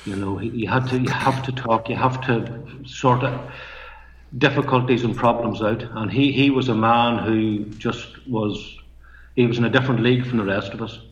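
Northern irish guy, middle-aged, soft and halting, sounds muffled and echoey. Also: medium pitch, steady tone, a little hiss or hum. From Northern Ireland. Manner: soft-spoken, measured, halting restarts. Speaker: guest poet and storyteller. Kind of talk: reflective interview talk. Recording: muffled, roomy remote line.

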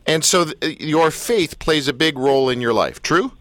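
A man hosts a radio talk show and interviews a guest. His delivery is joking and teasing, and his pitch swings widely up and down. He sounds close and dry, as if recorded in a studio. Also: expressive, normal speed, fairly bright tone, no echo. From Canada.

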